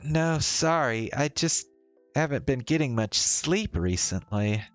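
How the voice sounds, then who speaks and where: A man voicing an unhurried, weary young woman. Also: medium pitch, animated, no echo, soft background music, pitched above the performer's own voice. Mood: weary, uneasy. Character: Shiori, tired young woman, teasing and troubled. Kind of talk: visual novel dialogue read-aloud.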